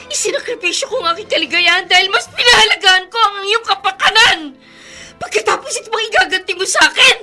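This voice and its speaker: An actress playing an accusing, wounded elder sister in a Filipino radio drama, bitter and anguished. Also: female voice, very high voice, expressive, fast, sharp tone, soft background music, heavily acted. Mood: furious, anguished. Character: furious, wounded elder sister who sacrificed for her sibling.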